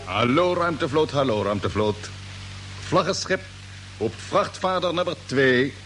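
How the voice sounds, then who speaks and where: Commanding space captain, lively higher voice. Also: male voice, unhurried, faint music behind. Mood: commanding, brisk. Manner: authoritative, clipped orders. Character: brisk, confident male space fleet captain. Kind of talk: radio drama acting. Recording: old radio play, humming background noise.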